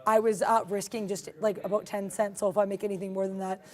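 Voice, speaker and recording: A woman's voice: medium pitch, flat delivery, fast. A young woman co-hosting a live trading stream, commenting on stock charts in a casual, playful way and joking with her co-host. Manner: playful, laughs often, makes puns.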